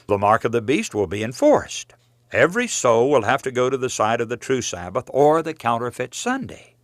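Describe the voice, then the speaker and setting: A man reading a scripted sermon from a book as a preacher, in an earnest, emphatic and measured way. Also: medium pitch, dry recording. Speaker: preacher and author reading his own book.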